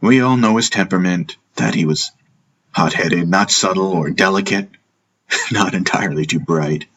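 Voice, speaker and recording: A man voicing a sardonic, world-weary king who speaks haltingly to his brother in a fantasy audiobook. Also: low voice, fairly bright tone, husky, full character voice. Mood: hesitant, confessional. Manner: sarcastic, halting, hesitant. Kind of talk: fantasy audiobook character acting.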